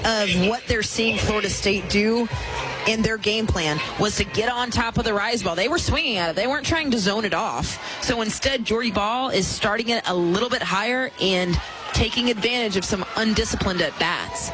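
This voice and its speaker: Emphatic female softball color analyst. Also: medium pitch, moderate pace, over music, rough recording. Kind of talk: live softball analysis commentary.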